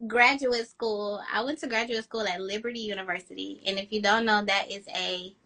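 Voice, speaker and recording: Woman, thirties, high-pitched, bright tone, chatty, enthusiastic, interview guest. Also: steady tone, brisk. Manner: chatty, enthusiastic, quotes herself with "girl". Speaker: romance author, interview guest. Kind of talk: casual interview chat.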